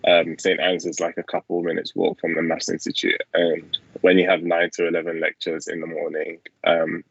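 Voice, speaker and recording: Low gravelly young man. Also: normal speed, no room sound. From England. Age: young adult. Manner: relaxed, low-key, fillers. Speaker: student host. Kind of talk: casual Q&A on a video call. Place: small room at home. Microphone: laptop webcam mic on video call. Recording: dull lo-fi video call audio.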